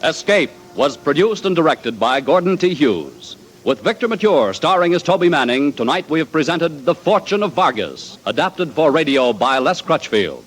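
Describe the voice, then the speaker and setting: A man giving a formal, measured sign-off on 1940s network radio. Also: on the high side, very animated, low-quality recording. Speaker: radio announcer.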